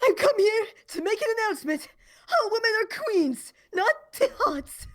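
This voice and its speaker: A woman's voice: very high voice, sing-song, a little slow, fairly bright tone, voice pushed up, full character voice. A woman voicing an earnest, innocent young anime man in a declamatory way. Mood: earnest, proud.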